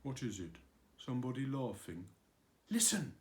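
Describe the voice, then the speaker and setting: A man voicing a kind, eager young boy in a storybook reading, excitable. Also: mid-range voice, moderate pace, close to an everyday voice. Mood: excited.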